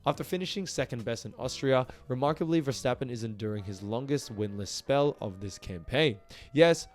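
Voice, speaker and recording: A man's voice: mid-range voice, a little mellow. A man presenting motorsport news, mixing scripted narration with casual commentary.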